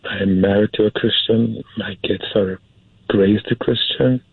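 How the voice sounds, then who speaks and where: Male guest, husky voice, dry phone-like narrow sound. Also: on the low side, steady tone, unhurried, no room sound. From Syria. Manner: hesitant, repeats words for emphasis. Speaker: interview guest, film producer. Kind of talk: casual interview talk.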